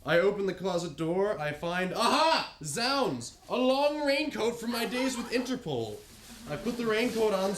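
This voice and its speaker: A man's voice: high voice, echoey room. An actor playing a playful husband who narrates his own actions in a mock-heroic way. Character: playful husband, theatrical and wry.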